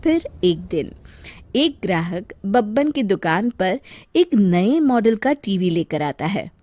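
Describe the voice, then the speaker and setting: A woman's voice: mid-range voice, sing-song, slow, darkish tone, dry recording. A woman storyteller narrating a scripted tale for children.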